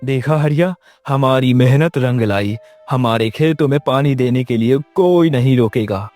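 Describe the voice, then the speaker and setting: A man's voice: mid-range voice, measured pace, warm tone, soft background music, lightly acted. A man playing an emphatic village character in a moral-story cartoon. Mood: satisfied. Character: earnest farmer and curt dismissive official in a cartoon.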